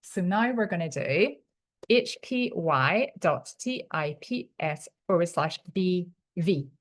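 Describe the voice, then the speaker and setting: A woman's voice: on the low side, lively intonation, slow pace. Chatty Belfast woman teaching online. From Northern Ireland. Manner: chatty, enthusiastic, unpolished speech. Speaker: webinar presenter. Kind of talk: live online tutorial.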